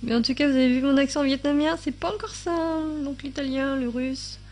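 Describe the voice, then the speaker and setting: Woman, fairly high voice, chatty screen-recording tutorial at her computer, lots of fillers and thinking aloud. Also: fairly even intonation, a little fast, a little mellow, a little hiss or hum. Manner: reads out translated subtitles in other languages. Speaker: YouTube tutorial maker.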